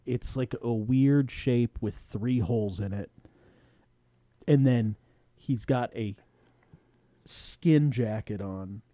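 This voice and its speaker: A man's voice: medium pitch, slow pace, long pauses, mellow, no room sound. A man co-hosting a podcast, casually recapping a show with slangy, playful storytelling and bits of acted-out dialogue. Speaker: podcast co-host.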